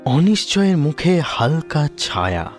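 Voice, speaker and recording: A man narrating a scripted audio story in a dramatic, deliberate manner. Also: a little high, big pitch swings, faint music behind.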